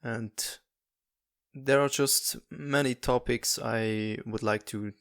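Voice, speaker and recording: Halting Swiss man, low gravelly voice. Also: steady tone, a bit bright, no echo, clean studio sound. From Switzerland. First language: not English. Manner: halting, many fillers and restarts. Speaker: podcast host. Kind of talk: casual solo monologue.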